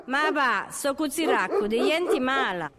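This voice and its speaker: A woman's voice: high voice, animated, unhurried, a loud crowd. A woman playing a rustic farmhand with a Sardinian accent in a dramatic audiobook monologue, speaking in dialect. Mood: teasing. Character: rustic farmhand who feeds and slaughters pigs. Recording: loud music over the voice.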